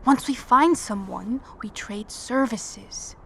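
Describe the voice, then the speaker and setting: Tough, higher-voiced woman survivor. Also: slow pace, creaky, breathy edge, full character voice. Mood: firm, practical. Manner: terse, firm, practical. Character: tough, resolute combat-trained woman survivor leading companions. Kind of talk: audio drama dialogue. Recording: faint background ambience.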